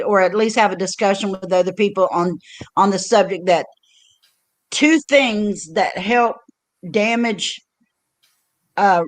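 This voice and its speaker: An older woman talks into a home mic on her own livestream. She rambles through stories, circling back with fillers and restarts. Her pitch sits in an ordinary middle range. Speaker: livestream host. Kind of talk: casual chat.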